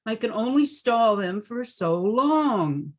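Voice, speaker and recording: A woman's voice: on the high side, expressive. A woman playing a saucy, insistent young literary agent in an amateur radio play, blunt and colloquial. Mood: firm, saucy. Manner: brisk, no-nonsense, colloquial.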